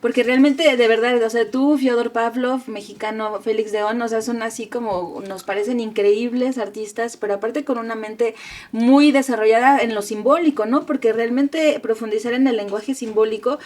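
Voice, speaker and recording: A woman's voice: a little high, steady tone, moderate pace, darkish tone. A woman co-hosting a tarot channel interview, talking enthusiastically and effusively.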